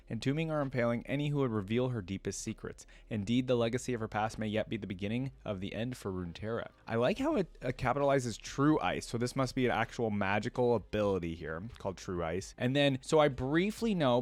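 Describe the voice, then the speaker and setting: A man's voice: medium pitch, no echo. Casual man hosting reaction videos, dry sound. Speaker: host of a video game lore reaction series. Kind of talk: casual reaction commentary mixed with reading aloud.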